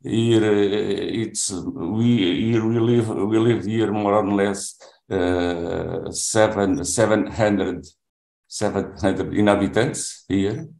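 A deep-voiced man in his seventies speaks English with a Portuguese accent, slowly and haltingly, restarting his sentences again and again. His voice is gravelly, and the room lends it a noticeable echo. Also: steady tone, darkish tone. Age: 70s. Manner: halting, with many restarts and fillers. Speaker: language student. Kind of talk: classroom self-introduction. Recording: roomy echo.

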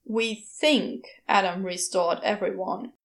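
A woman's voice: medium pitch, steady tone, slow pace, pitched below the performer's own voice. A woman voicing a brusque, moody male demon in a storybook reading, terse and grumbling. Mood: exasperated, sardonic.

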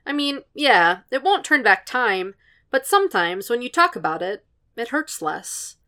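A woman voicing an earnest young man who speaks with eager, rising, loud bursts. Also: a little high, big pitch swings, a little slow, pitched above the performer's own voice, exaggerated voice.

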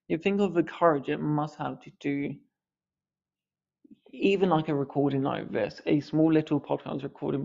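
A man's voice: fairly high pitch, vocal fry, a little mellow, muffled recording. A man hosting a podcast, speaking earnestly with a mild stammer on the odd word. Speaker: podcast host. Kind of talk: reflective podcast commentary.

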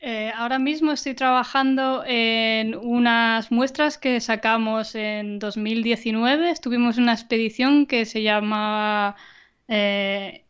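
A woman with a slightly high, smooth voice talks thoughtfully about her work, pausing and restarting often. Her voice comes through a remote call that sounds muffled and lo-fi. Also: fairly even intonation, a bit bright. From Argentina. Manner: thoughtful, many fillers and restarts, light laughs. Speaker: guest scientist. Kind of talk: casual podcast interview. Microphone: remote video call.

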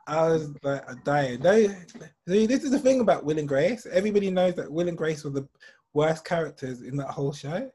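A British man hosting a casual podcast chat, talkative and sometimes dropping to a low mutter for asides. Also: expressive, brisk, mellow, husky. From United Kingdom. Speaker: podcast host.

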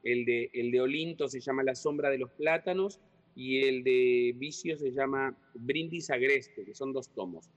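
A man's voice: medium pitch, unhurried. Hesitant man, echoey muffled room. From Uruguay. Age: 40s. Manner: rambling and hesitant, with many repeated words. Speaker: writer and guest. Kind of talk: conversational interview.

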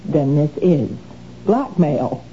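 An actress playing a frightened middle-aged housewife in a 1970s radio drama, anxious and pleading. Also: female voice, sits low, lively intonation, takes it slowly. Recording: dull old radio recording, loud hiss.

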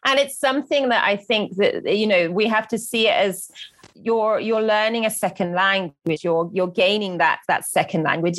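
Woman teacher thinking out loud, slows down on key points, dead dry close sound, mid pitch. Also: dry recording. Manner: thoughtful, many restarts, slows on key points. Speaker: English teacher. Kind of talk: casual conversation.

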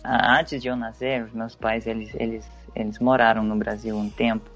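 A young man from Venezuela, whose first language is Spanish, talks through his answers with a gravelly edge to his voice. He hesitates often, restarting phrases as he searches for words. Faint music plays quietly behind him. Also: fairly low pitch, little pitch movement, normal speed. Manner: hesitant, many restarts and fillers. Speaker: interviewee, English teacher. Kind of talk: casual interview.

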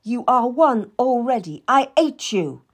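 A woman voicing an imperious, volatile young noblewoman who scolds in slow, drawn-out accusations. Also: a little high, very animated, pitched above the performer's own voice. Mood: furious.

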